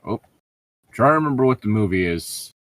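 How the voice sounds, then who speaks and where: Low-voiced man, profane and joking. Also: animated, brisk. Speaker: host of a fanfiction reading show. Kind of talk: casual dramatic reading with mocking commentary.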